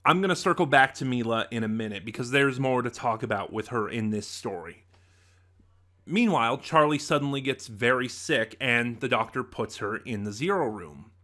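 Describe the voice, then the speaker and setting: Bright-voiced chatty male reviewer. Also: medium pitch, moderate pace. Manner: chatty, animated, rambling asides. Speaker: podcast reviewer. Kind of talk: unscripted review talk.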